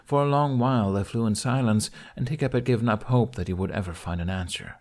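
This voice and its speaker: A man reading fantasy fiction aloud as an audiobook narrator, in an expressive scripted storytelling style. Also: fairly low pitch, fast.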